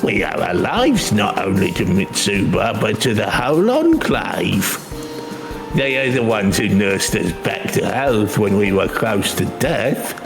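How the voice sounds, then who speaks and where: A man's voice: lowish voice, big pitch swings, measured pace, creaky, over music, exaggerated voice. A man voicing a proud steppe tribesman with stately, archaic phrasing in a game. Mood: earnest.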